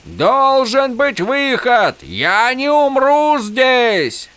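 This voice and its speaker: A man's voice: a little high, sing-song, takes it slowly, no echo, voice pushed up, full character voice. A man voicing a desperate, defiant warrior prince in a fantasy audiobook, crying out to a god in impassioned demands and pleas. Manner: shouting, pleading, impassioned.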